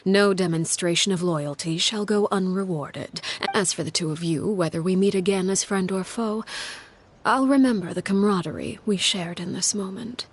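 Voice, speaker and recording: An actress voicing a cold, commanding female leader of a criminal family, speaking in a measured, formal, quietly menacing way over game music. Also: medium pitch, slight background noise, natural voice. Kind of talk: game cutscene voice acting. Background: orchestral game music.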